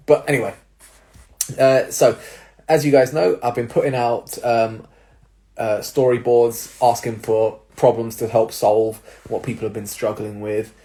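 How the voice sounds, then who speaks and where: A young man, a personal trainer, giving casual advice to viewers with a slightly nasal, stuffy-nosed voice, explaining things step by step with filler words and quick "right?" checks. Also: mid-range voice, steady tone, warm tone. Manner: explanatory, with tag questions and fillers. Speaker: personal trainer and jiu-jitsu coach.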